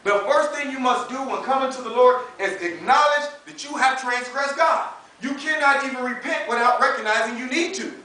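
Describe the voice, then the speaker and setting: High-voiced American preacher in an echoey room. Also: male voice, moderate pace, few pauses, sharp tone. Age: 30s. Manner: emphatic, colloquial, uses rhetorical questions and acted-out dialogue. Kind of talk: animated, conversational sermon. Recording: echoey room, distant mic, faint noise.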